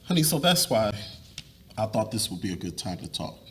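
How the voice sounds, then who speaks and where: A man's voice: lowish voice, very animated, fairly quick, faint music behind. A man playing a middle-aged family man in a dramatic reading, earnest and conversational. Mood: hesitant, earnest.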